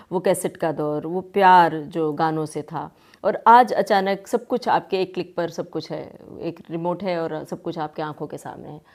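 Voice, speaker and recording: A woman's voice: lowish voice, darkish tone. A woman with an Indian accent hosting a conversational radio interview, often putting in short affirming words.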